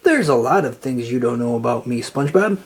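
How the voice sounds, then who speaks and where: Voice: medium pitch, lively intonation, a little fast, husky, voice pushed down. A storyteller voicing a dim but confident, good-natured male starfish in a children's storybook, simple and enthusiastic. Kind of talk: children's storybook read-aloud.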